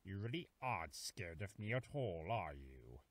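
Man voicing a low, grumpy forest beast. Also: sing-song, moderate pace, slightly rough, no echo, exaggerated voice. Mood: curt, grumpy. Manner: curt, dismissive. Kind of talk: animated fan drama acting. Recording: loud music over the voice.

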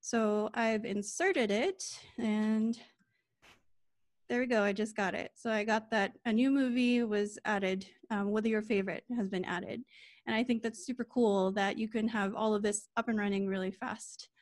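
A woman walks through a coding demo over a video call in a casual, informal way. She talks quickly and corrects herself on the fly. Her sound is dry and close. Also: mid-range voice, fairly even intonation, dry recording. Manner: quick, informal, with small laughs and self-corrections. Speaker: lightning talk speaker.

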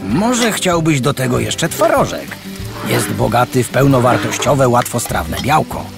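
An actor voicing a gentle, patient pig father in a cartoon. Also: mid-range voice, very animated, unhurried, vocal fry. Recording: studio cartoon audio with music behind.

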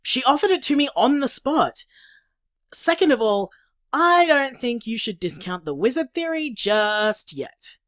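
A woman's voice: high voice, big pitch swings, a little slow, fairly bright tone, no room sound, heavily acted. A woman with an Australian accent playing an upbeat, teasing young partner in a naturalistic audio drama, breezy and chatty. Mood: excited. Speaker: podcast drama actor.

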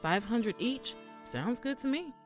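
An actress playing a sassy but sensible thief in an audio drama, with a wry, conversational delivery. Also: female voice, fairly high pitch, animated, unhurried, over music. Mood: amused, eager. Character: sassy, sensible female thief, easily exasperated.

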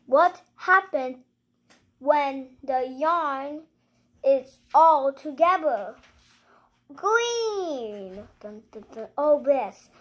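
Little kid reading a picture book out loud, high voice, slow going, playful asides. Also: animated. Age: child. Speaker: young host of a read-aloud channel. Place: living room at home, indoors.

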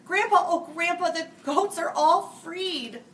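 A woman in her forties reading a picture book aloud to children in a sing-song, animated way, jumping to loud, high character lines. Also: unhurried. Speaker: school staff reading buddy. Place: small room, seated before a green-screen farm backdrop. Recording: distant mic with faint hiss.

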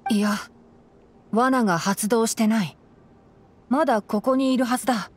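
A woman voicing a gentle young male forest ranger and scholar in a Japanese anime game, measured and explanatory. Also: on the high side, a little hiss or hum, lightly acted. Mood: calm, kindly. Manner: measured, explanatory, soft-spoken.

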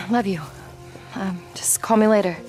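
A woman's voice: medium pitch, unhurried, lightly acted. A woman playing an earnest, tender young American bride in a naturalistic video game cutscene. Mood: hurried. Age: young adult. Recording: music under the dialogue.

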